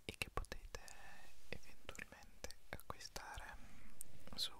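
Male ASMR host, unvoiced whisper, unhurried pace, gentle and halting delivery, close-miked. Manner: gentle, halting, lingering on words. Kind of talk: whispered ASMR, introducing a poetry reading. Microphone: close ASMR microphone.

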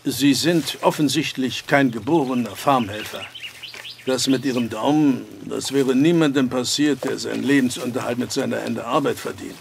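Male actor as strict head of a farm household, gravelly, formal, plain-spoken, audio drama, faint ambient noise. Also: medium pitch, normal speed, natural voice. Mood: earnest. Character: stern, pious, troubled farmer and head of household. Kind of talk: German audio drama acting.

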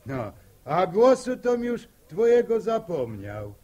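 A man's voice: high voice, big pitch swings, darkish tone, muffled recording, full character voice. An actor playing a genial middle-aged farmer father in a 1960s radio drama. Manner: slow, folksy.

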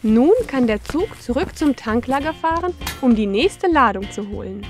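Female narrator, higher-pitched, for a preschool educational cartoon; instructive question-and-answer delivery over clear background music. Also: animated, moderate pace. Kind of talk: scripted narration for young children.

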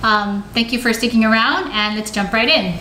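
A woman's voice: on the high side, moderate pace, sharp tone. A woman, chatting casually and asking questions as host in a home kitchen. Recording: echoey kitchen with faint background noise.

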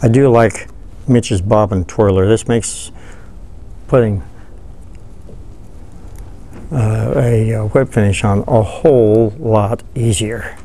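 An older man giving a relaxed, folksy fly-tying tutorial, thinking aloud as he works at a table in a studio. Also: fairly low pitch, slow, pauses often. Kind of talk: hands-on tutorial. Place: studio with dark backdrop, seated at table.

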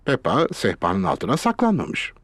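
A man narrating a children's cartoon as a storyteller, in simple, expressive scripted sentences. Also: on the low side, normal speed, breathy edge, no room sound.

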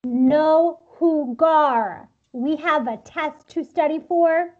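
Woman as snooty college girl, high voice, really slow delivery, muffled lo-fi call. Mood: dismissive. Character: snooty young female American college student. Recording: dull, lo-fi video call.

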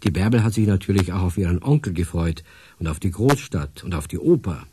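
A man's voice: deep voice, expressive, a little fast, warm tone, slight background noise. A man narrating a radio play as a storyteller.

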